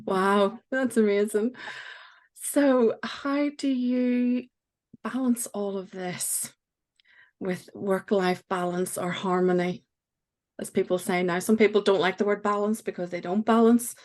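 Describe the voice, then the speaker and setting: A woman hosting a conversational podcast, with a voice of middling pitch. She responds enthusiastically and warmly affirms her guest. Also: steady tone, measured pace. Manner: enthusiastic, affirming, laughs often. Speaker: podcast host. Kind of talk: conversational interview.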